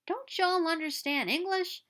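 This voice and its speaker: A woman's voice: very high voice, a little slow, sharp tone, pitched above the performer's own voice, full character voice. A woman voicing a hostile female kitchen worker in a storybook, scolding and hissing commands. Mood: scornful.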